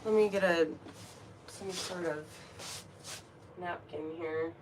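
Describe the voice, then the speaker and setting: A woman's voice: fairly low pitch, slow, noisy background. A woman with a cold, sniffling, who chats casually and playfully with her stream viewers from her room at home before a card reading, giggling at her own jokes. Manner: chatty, playful, giggly asides. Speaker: tarot reader and streamer. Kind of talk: casual livestream chat.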